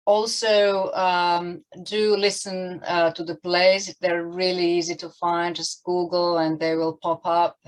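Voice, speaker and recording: A woman with a low voice hosts an online panel discussion. Her pitch stays very even, and her phrasing is hesitant and halting as she steers the event. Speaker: event moderator.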